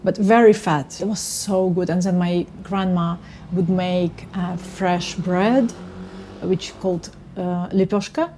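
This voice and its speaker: A woman in her thirties, a native Russian speaker from Kyrgyzstan, chatting casually in a home kitchen and sometimes pausing to search for words. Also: fairly low pitch, steady tone, warm tone, a little hiss or hum. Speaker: guest violinist. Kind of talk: casual chat.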